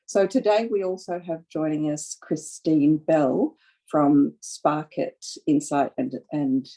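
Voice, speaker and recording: A woman facilitating an online panel webinar, speaking in a measured way with some hesitations. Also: low voice, steady tone, warm tone. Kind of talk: panel moderation. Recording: dull lo-fi audio.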